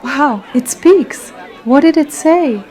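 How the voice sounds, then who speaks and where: A woman's voice: sits high, expressive, takes it slowly, raised voice. A woman playing a polite, bubbly young waitress who is easily amazed, in a radio drama. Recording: noisy, busy crowd around the voice.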